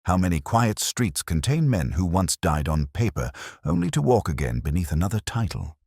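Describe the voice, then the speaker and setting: A man playing a cool, precise Victorian gentleman detective in an audiobook, probing and solemn. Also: deep voice, big pitch swings, moderate pace, creaky, slightly rough, no room sound, studio quality, pitched above the performer's own voice, heavily acted. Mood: pensive.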